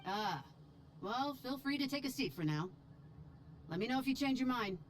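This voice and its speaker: A woman's voice: on the high side, lively intonation, moderate pace, slight background noise, lightly acted. A woman voicing a friendly, chatty food stall server in a video game.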